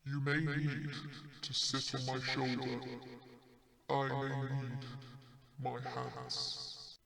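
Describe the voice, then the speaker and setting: Colossal fire giant, fantasy audio story, gravelly creaking rumble, extremely slow, solemn, menacing, portentous. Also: male voice, flat delivery, fairly bright tone, echoey room, distant mic, heavily acted. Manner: slow, solemn, portentous delivery in short phrases.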